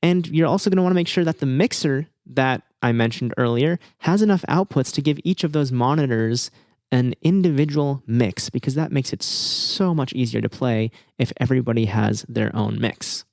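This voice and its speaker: A man gives practical advice as a podcast host in an informal, conversational way. His voice sits at an average pitch with a gravelly, creaky edge. The sound is very dry and close, and he explains things directly to the listener. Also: moderate pace, a little mellow, no echo. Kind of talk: informal instructional podcast talk.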